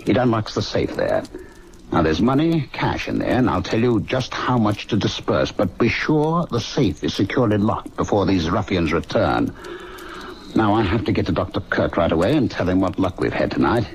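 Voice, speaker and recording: A worldly, bossy medic in an old radio drama. His fairly low voice moves around a lot, urbane and commanding, on a faintly hissy recording. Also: sing-song, moderate pace, muffled recording. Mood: brisk, commanding. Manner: commanding, urbane, clipped orders. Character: brisk, worldly senior medical student, smoothly bossy.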